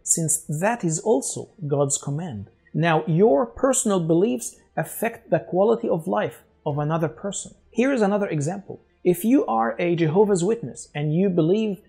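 A man in his forties with an Egyptian accent speaks English as a second language to his channel's viewers. His voice is mellow and a little husky, and his delivery is measured and earnest. He was recorded on a lapel mic in a studio. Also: sits high, expressive, soft background music. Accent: Egyptian Arabic. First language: Arabic. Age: 40s. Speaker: channel host. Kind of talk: scripted talk to camera. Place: studio with dark backdrop.

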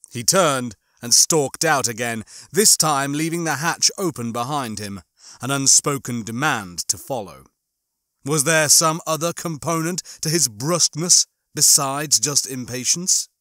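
A man narrating a horror science fiction audiobook in a measured, expressive, suspenseful way. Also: medium pitch, no room sound, clean studio sound. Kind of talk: dramatic scripted narration of a horror sci-fi novel.

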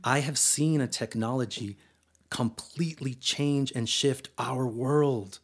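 Guest poet, male, late 30s, from El Paso: mid-range voice, excitable, filler-heavy chat. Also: mid-range voice, slow, breathy edge. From El Paso, Texas, US. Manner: energetic, filler-heavy, keeps adding "right?". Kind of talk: casual interview conversation.

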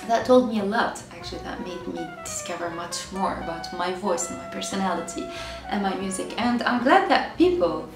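A woman from Syria in her thirties, speaking English in a hesitant, reflective way, pausing and repeating words as she searches for them. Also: medium pitch, expressive. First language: Arabic. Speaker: singer. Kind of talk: reflective interview answers. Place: bright living room, seated on a sofa. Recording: roomy, distant, music behind.

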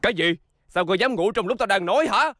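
Pompous, forceful cartoon superhero. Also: male voice, high-pitched, animated, fast, dry recording, clean studio sound, heavily acted. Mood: heroic, indignant. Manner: declamatory, grandiose. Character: pompous, melodramatic male superhero fighting evil. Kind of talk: cartoon dub acting.

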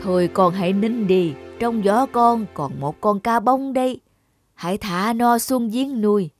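A kindly old male spirit from a cartoon fairy tale, acted out by a woman. He speaks in a measured, consoling way and guides gently. Music plays clearly behind the voice. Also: mid-range voice, natural voice. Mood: gentle, consoling.

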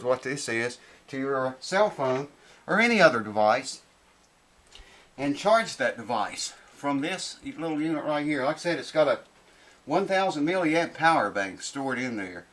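A middle-aged man giving a casual hands-on review to camera, informal and unhurried, thinking aloud as he goes. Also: medium pitch, lively intonation, fairly bright tone. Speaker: product reviewer. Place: small cluttered indoor workshop room.

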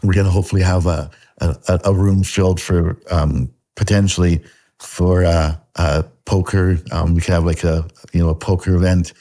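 A man's voice: deep voice, level tone, moderate pace, dark tone. A man in his fifties from Canada, with a Canadian accent tinged with Scottish, chatting casually on a video call, rambling with lots of fillers and restarts. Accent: Canadian with a slight Scottish tinge. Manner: rambling, many fillers and restarts, laughs often. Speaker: guest artist. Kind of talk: casual interview chat. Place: home room at a desk. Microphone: video call webcam.

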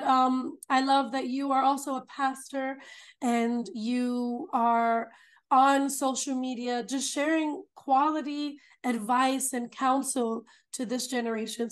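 A woman in her thirties hosting an interview over a video call, speaking in an upbeat, enthusiastic way. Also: sits high. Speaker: host. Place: home room.

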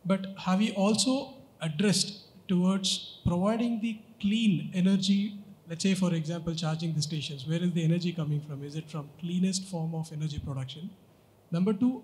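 Higher-voiced man asking from the audience. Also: a little mellow, poor audio. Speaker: audience member asking a question. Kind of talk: conference Q&A question. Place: conference hall audience floor. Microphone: handheld microphone.

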